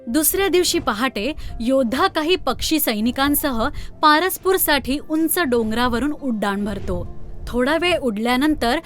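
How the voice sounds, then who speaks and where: A female storyteller narrates a children's fairy tale from a script. Her voice is high-pitched, and music plays behind the narration. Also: expressive, measured pace, fairly bright tone. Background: background music.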